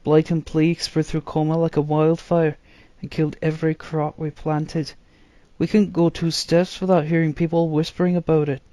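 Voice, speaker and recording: A man's voice: fairly high pitch, flat delivery, moderate pace, warm tone, dry recording. A man reading a fantasy story aloud as a dramatic storybook narrator. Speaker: narrator, reader.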